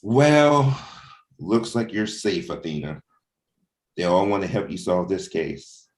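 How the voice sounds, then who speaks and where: A stern superior voiced by a man gives orders in a very low voice. He is commanding and judging, as in a comic-book drama read. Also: slow, echoey room.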